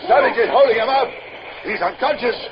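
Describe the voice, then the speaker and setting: Muffled noisy old radio, hearty naval officer, high-pitched, barking, shouting crew behind. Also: male voice, a little fast, a crowd in the background. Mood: frantic excitement. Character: rough, excitable British sailing-ship officer. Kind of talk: 1950s radio drama acting.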